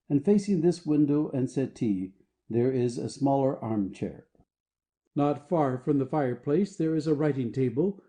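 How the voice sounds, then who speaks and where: Mellow, soft-toned man narrating stage directions. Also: a little high. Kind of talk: scripted narration of a play.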